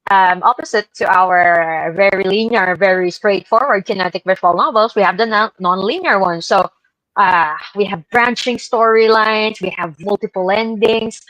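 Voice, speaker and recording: A woman's voice: mid-range voice, normal speed, a bit bright, dry recording, rough recording. A woman presenting informally to co-hosts on an online show, in a hesitant, conversational way. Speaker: podcast presenter. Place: online live stream call.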